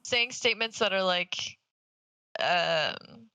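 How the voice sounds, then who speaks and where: A woman's voice: medium pitch, animated, slow pace, bright, forceful tone, smooth, no echo. A woman co-hosting a podcast in casual banter, with a blunt, teasing manner. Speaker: podcast co-host.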